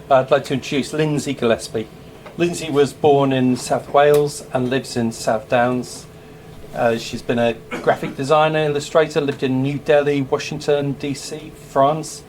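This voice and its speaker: Man, event host, relaxed and chatty intro, some ums. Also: mid-range voice, a little hiss or hum. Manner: easygoing, with fillers and a chuckle. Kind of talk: casual live introduction.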